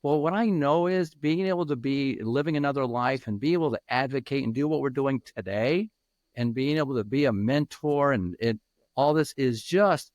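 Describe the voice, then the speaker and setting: A man over fifty answering interview questions as a peer mentor, speaking earnestly and haltingly with many restarts. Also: medium pitch, darkish tone, dry recording.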